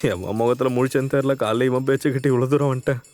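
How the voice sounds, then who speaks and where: An actor playing a nervous, defensively proud young man in love, rambling and halting, in a conversational short-film style. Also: medium pitch, fairly even intonation, a little mellow, no echo, close to an everyday voice. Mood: anxious, defensive. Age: young adult. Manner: halting, rambling, self-justifying.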